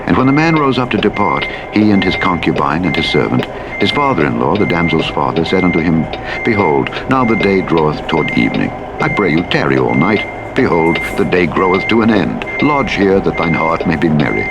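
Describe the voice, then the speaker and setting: A man reading scripture as a formal, solemn and measured scripted narration. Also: deep voice. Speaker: audiobook Bible narrator. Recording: loud truck engine noise, played as radio. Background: truck simulator engine, vehicle sounds, reversing beeps.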